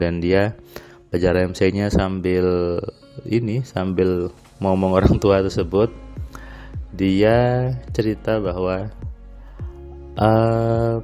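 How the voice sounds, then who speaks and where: A man recording a relaxed spoken diary on his home terrace at night, his voice a little nasal and stuffy, rambling with frequent fillers. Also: low-pitched, takes it slowly, pauses often, faint music behind. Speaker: motivational audio diarist. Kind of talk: casual spoken diary monologue.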